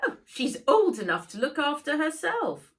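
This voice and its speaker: A self-important, busy mother from a storybook, performed by a woman narrator. Her voice is high and swooping, with an airy, affected, dismissive manner. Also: huge pitch swings, normal speed, fairly bright tone, heavily acted.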